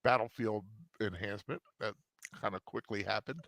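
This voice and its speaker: A man's voice: lowish voice, moderate pace, vocal fry, a bit bright, dry recording, low-quality recording. A man co-hosting a casual review chat, teasing his friend in a playful way. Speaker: co-host.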